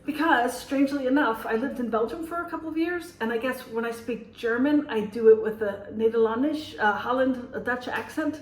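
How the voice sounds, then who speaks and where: A woman's voice: on the high side, brisk, hardly any pauses, far from the mic. Chatty American woman in an echoey room. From United States. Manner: chatty and rambling, with many fillers and restarts. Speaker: teacher and vlogger. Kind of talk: casual vlog monologue and storytelling.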